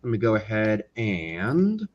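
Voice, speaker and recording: A man speaking as a guest in a casual interview, explaining things with pauses mid-thought over an online call. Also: on the low side, wildly swooping pitch, a little hiss or hum. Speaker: guest, animation and game creator. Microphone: online video call. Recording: slightly dull, lo-fi online call.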